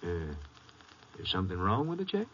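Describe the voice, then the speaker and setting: Helpful small town broker, lowish but bright voice, old radio sound. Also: male voice, huge pitch swings, normal speed, heavily acted. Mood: obliging. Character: obliging small-town male real estate broker. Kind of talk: old-time radio western acting. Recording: noisy lo-fi old radio recording.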